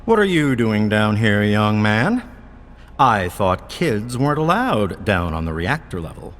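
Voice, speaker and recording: An actor playing a friendly, teasing lab assistant in a video game, with jovial, mock-stern banter. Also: male voice, lowish voice, sing-song, measured pace, a bit bright, big-room sound, a little hiss or hum.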